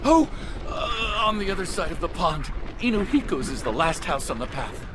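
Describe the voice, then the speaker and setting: Cast a skittish, rambling villager, high and jumpy, noisy backdrop. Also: male voice, sing-song, moderate pace. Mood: uneasy. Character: jittery male villager hiding under a basket. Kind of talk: video game voice acting.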